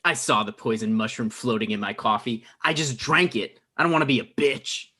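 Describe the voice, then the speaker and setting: A man's voice: medium pitch, fairly quick, bright, forceful tone, breathy edge. A man acting as a sheepish, self-absorbed young man in a comedy table read, blunt and self-deprecating. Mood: defiant.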